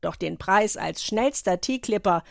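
A woman's voice: medium pitch, animated, unhurried, vocal fry, no echo. Woman reporter narrating, dry close sound. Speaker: radio correspondent. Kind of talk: scripted radio feature narration.

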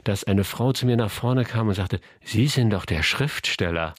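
A middle-aged man from Saarland talks as a radio interview guest, telling anecdotes in an easygoing way with plenty of fillers. His voice is low and husky. The sound is dry and close, as in a small studio. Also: animated, moderate pace, no echo. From Saarland, Germany. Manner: chatty storyteller, frequent fillers, light laughs. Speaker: crime novelist and bus driver, interview guest. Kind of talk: relaxed radio interview chat.

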